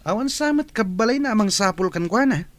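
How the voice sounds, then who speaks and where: An actor playing a callous, gloating villain's accomplice in an Ilocano radio drama. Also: male voice, sits high, expressive, fairly quick, a bit bright, no echo.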